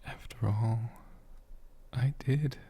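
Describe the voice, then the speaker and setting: A man voicing a sleepy, affectionate dragon shopkeeper in a soft, breathy, whispered ASMR roleplay, with a drowsy and gently formal way of talking. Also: medium pitch, slow pace, background noise. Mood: grateful, affectionate.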